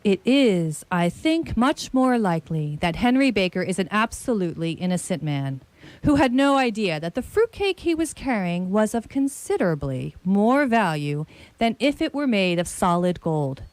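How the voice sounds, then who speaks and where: A woman playing a shrewd, commanding detective in a radio play, with grandiloquent, deliberate phrasing. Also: mid-range voice, sing-song, a little mellow, no room sound, close to an everyday voice. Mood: confident, deducing. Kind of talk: radio theatre acting.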